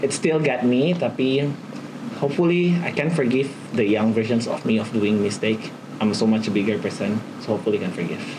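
Guy around 29, kinda low voice, talking candidly and haltingly w english mixed in, noisy background. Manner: mixes Indonesian and English, halting. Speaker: panel participant, entrepreneur. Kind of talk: candid personal reflection. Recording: clear background noise.

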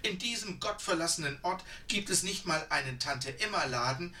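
A man's voice: fairly high pitch, animated, moderate pace, pushed and bright, reverberant, far from the mic, pitched above the performer's own voice. A man voicing a reluctant young woman hiker who grumbles and complains. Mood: grumbling. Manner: sulky, complaining.

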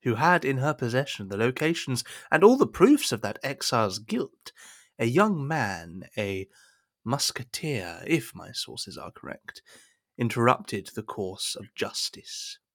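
A man's voice: medium pitch, sing-song, unhurried. An actor playing a scheming cardinal in an audio drama, formal and insinuating in his speech. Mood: grave, insinuating. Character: smooth, scheming cardinal and royal adviser.

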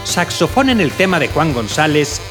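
Male announcer, scripted radio outro, very lively intonation, theatrical, background music. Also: a little high, moderate pace.

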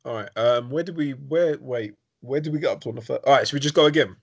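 A man, hosting a podcast in quick, laughing, chummy conversation. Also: mid-range voice, gravelly, crowd noise. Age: 30s. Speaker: podcast host. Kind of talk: casual chat.

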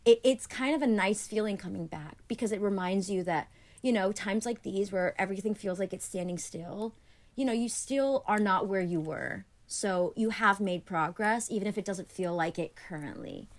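A woman's voice: mid-range voice, moderate pace. Chatty woman guest on a remote call. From Annapolis, Maryland, USA. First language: Greek. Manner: chatty, many "like" fillers, animated when retelling. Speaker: podcast guest. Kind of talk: casual conversational interview. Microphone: remote call from home.

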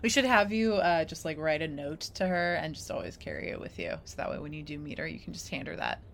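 A woman's voice: low voice, expressive, quick pace, creaky, a bit bright, slight background noise. A woman co-hosting a podcast in casual conversation, chatty and giggly in an informal way. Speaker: podcast co-host. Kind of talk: casual chat.

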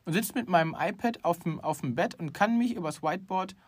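A man's voice: high-pitched, a little fast, husky. A middle-aged man co-hosting a casual talk show, opinionated and emphatic, with many restarts. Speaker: podcast co-host. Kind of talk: casual chat.

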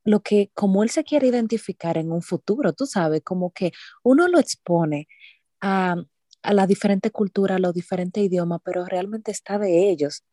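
A woman's voice: mid-range voice, normal speed, mellow, no room sound, clean studio sound. A woman co-hosting a casual podcast, talking in a reflective way. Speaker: podcast co-host. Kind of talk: casual conversation.

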